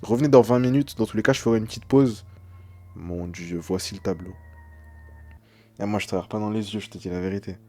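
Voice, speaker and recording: A man's voice: lowish voice, fairly quick, pauses often, dark tone. A young man speaking as a streamer in casual live reaction, translating text aloud with slangy, hesitant, uneasy commentary.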